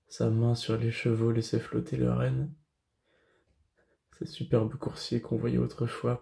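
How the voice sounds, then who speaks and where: A man acting a grieving, solemn tutor in a classical French verse tragedy, declaiming in measured phrases with dramatic pauses. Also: mid-range voice, gravelly, dark tone. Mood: somber, pensive. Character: grieving male tutor recounting a horror, solemn. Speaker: actor. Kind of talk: classical French verse tragedy monologue, self-tape audition.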